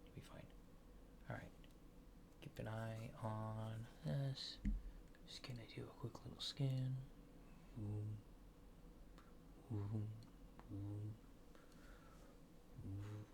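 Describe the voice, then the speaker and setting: A man performs an ASMR exam roleplay in a soft, hushed voice with a gravelly creak. He murmurs slowly and hesitantly, slipping toward a whisper at times. Clear background noise sits behind him. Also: fairly low pitch, steady tone. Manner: murmured, hesitant, unhurried short instructions. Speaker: ASMR performer playing an examiner.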